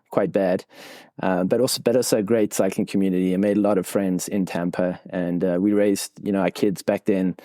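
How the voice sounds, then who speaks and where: A middle-aged man from South Africa talks cycling coaching in a podcast interview, rambling with frequent uhs. His voice sits low and mellow. The sound is dry and close, with no room around it. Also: no echo. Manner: rambling storytelling with many filler ums and uhs.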